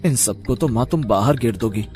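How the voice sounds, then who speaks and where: A man's voice: medium pitch, animated, moderate pace, darkish tone, faint music behind, lightly acted. A man voicing a polite, hardworking young village son in a cartoon story, speaking in an earnest, exclaiming manner. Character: hardworking, dutiful young samosa-maker son, eager and polite.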